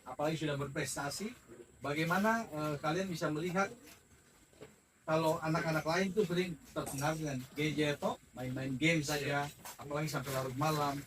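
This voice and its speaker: A man's voice: on the high side, a little fast, fairly bright tone, distant mic, low-quality recording. A man interviewing casually, affirming answers in an encouraging way.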